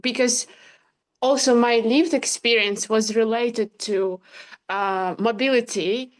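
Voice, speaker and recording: A woman's voice: on the high side, fairly even intonation, slow. A young woman from Ukraine with a Ukrainian accent, speaking earnestly on an online panel with many hesitations. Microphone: video call.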